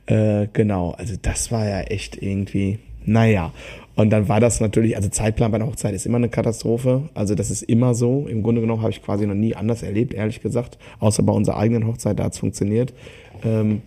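A man chatting casually as a podcast co-host, rambling and halting as he thinks aloud. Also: lowish voice, flat delivery, dark tone.

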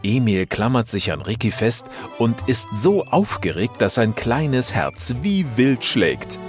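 A man narrating a scripted children's story in an expressive, dramatic storytelling manner. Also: mid-range voice, takes it slowly, faint music behind.

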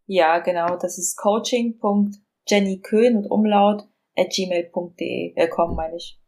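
A woman's voice: medium pitch, fairly even intonation, measured pace, a little mellow. A young woman, a fitness coach, giving casual answers as a guest in a podcast interview.

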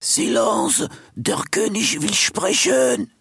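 A man voicing small, excitable animals and birds in a storybook. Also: high-pitched, slow, vocal fry, slightly rough, no room sound, clean studio sound, pitched above the performer's own voice, full character voice. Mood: commanding. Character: excitable, shrill little birds and animals of a crowd.

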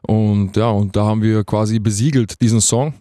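A young man talks casually as an interview guest. His voice is mellow and relaxed, with a soft, dark edge. The sound is dry, as in a studio. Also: lowish voice, moderate pace, no room sound. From Vienna, Austria. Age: 20s. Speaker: guest rapper being interviewed. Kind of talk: casual radio interview chat.

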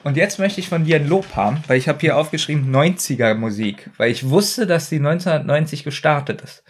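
30s guy chatting on a podcast, bit high voice, slight background noise. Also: fairly quick. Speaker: podcast co-host. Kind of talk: casual chat.

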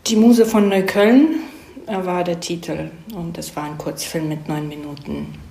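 A woman's voice: fairly low pitch, steady tone, unhurried, gravelly, warm tone. A woman giving casual explanations as an interview guest, thoughtful and hesitant, often correcting herself and checking for agreement. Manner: thoughtful, hesitant, self-correcting, seeks agreement with tag questions. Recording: roomy echo.

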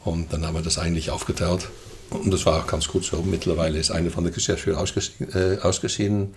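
An older man from the Netherlands with a Dutch accent, talking hesitantly with many fillers and throat clearing as an interview guest. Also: low-pitched, flat delivery, fast, darkish tone, a little hiss or hum. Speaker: guest, festival co-manager. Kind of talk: casual interview chat.

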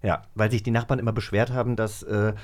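A man's voice: lowish voice, level tone, fast talker, darkish tone. A man in his forties chatting casually and enthusiastically as a podcast co-host. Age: 40s.

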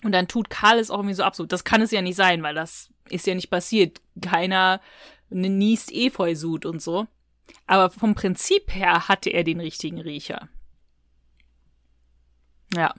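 A woman's voice: lowish voice, moderate pace, fairly bright tone, no room sound. Woman chatting on a podcast, kinda rambly and colloquial, super dry recording. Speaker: podcast co-host. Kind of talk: casual podcast chat, reviewing audio dramas.

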